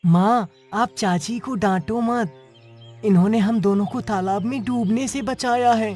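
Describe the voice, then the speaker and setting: A woman's voice: medium pitch, a little slow, a little mellow, soft background music, lightly acted. A woman voicing an earnest, caring young village child in a cartoon moral tale. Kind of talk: Hindi cartoon story voice acting.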